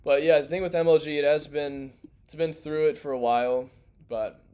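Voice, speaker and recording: A man's voice: on the high side, fairly even intonation, moderate pace, mellow, clear, resonant tone. Young man, slangy, losing his voice. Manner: loose, rambling, slangy, restarts mid-thought. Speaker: crypto livestream host. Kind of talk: casual livestream chat reading chat and charts.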